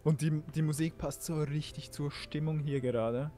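Brief: young male co-player, slightly high voice, goofy and quick to laugh, game music faint. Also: fairly even intonation, mellow. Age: young adult. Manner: jokey, laughs often, clowns with silly bits. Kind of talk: casual gaming chat.

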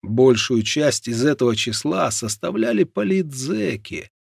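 A man narrates a non-fiction audiobook in a mid-pitched voice with a gravelly, husky grain. His intonation moves expressively through measured storytelling phrases. The sound is close and dry, as on a studio microphone. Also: sing-song, no room sound.

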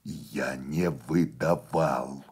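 A man's voice: very deep voice, drawn-out words, darkish tone, slightly rough, voice pushed down, full character voice. A man voicing a condemned village husband, muted and terse, bitter with suppressed despair. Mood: desperate fury.

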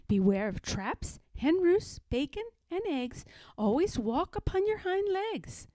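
A woman's voice: sits high, big pitch swings, unhurried, mellow, dry recording, pitched above the performer's own voice. A woman voicing a fussy, sighing mother sow who instructs and admonishes in a storybook read-aloud. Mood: solemn admonishing.

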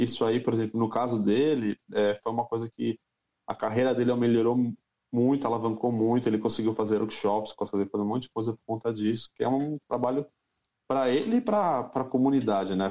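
Brazilian music teacher, lo-fi audio. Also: male voice, medium pitch, dark tone. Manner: thoughtful, unhurried, many fillers. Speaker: guest, music teacher and producer. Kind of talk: casual interview talk.

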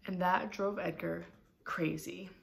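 A young woman reads a children's story at a very unhurried pace. Her voice sits a little low with noticeable creak, and her delivery is deliberate and expressive. It is a home recording with faint noise behind her. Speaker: storybook reader. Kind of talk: children's picture book read-aloud. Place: small room at home, indoors.

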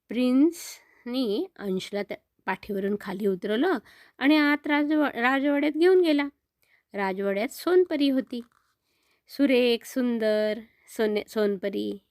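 Older lady telling kids a story, slow n high voice, grandmotherly, very dry sound. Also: a little mellow, no room sound. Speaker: children's storyteller. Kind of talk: expressive storytelling for children.

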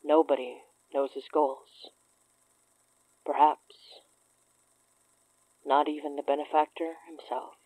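A performer playing a solemn chronicler in a horror audio drama, reading a dossier in a measured, formal, deliberate way. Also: mid-range voice, flat delivery, warm tone, breathy edge, dry recording, poor audio. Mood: ominous, measured.

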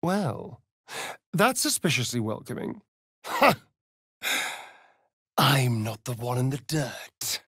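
A man's voice: medium pitch, sing-song, takes it slowly, pauses often, pushed and bright, husky, dry recording, clean studio sound, heavily acted. A man with an English accent playing a vain, sardonic vampire rogue in a video game, with an arch, mocking, drawling delivery. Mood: wry amusement. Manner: arch, drawling, mocking, swinging between purr and outburst. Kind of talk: video game character voice lines.

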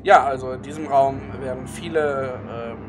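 A man's voice: medium pitch, normal speed. A man improvising narration as game master of a tabletop horror game. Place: online group call, indoors at home. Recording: music under the voice. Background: music.

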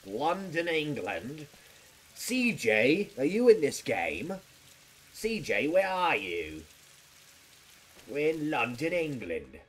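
A man's voice: a little high, wildly swooping pitch, slow pace, long pauses, a bit bright. A man casually reacting to a video game as he plays, muttering exclamations and swearing freely. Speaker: game streamer. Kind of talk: casual live gameplay reaction. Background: game music and effects.

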